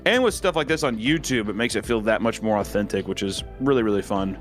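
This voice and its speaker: A man's voice: lowish voice, animated, quick pace, soft background music. A man in casual chat, speaking in an informal, conversational way.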